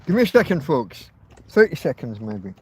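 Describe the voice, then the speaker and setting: A man's voice: medium pitch, very animated, moderate pace, a little hiss or hum. A man in his fifties hosting a rambling, casual livestream outdoors, talking bluntly and swearing freely. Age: 50s. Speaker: livestream host. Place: outdoors in a field.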